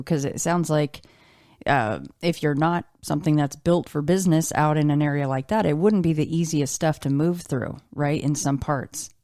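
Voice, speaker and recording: A woman with a low-pitched, mellow voice hosts a casual interview. She speaks reflectively and conversationally, recorded dry with no room sound. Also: no echo. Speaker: podcast host, interviewer.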